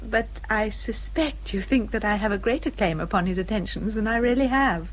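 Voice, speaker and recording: An actress plays a reserved, earnest young gentlewoman from a Regency radio play. Her English voice sits at a middle pitch and is a little husky. She speaks formally and politely, with a hesitant care. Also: moderate pace, natural voice, low-quality recording. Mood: earnest, firm. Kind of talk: period radio drama acting.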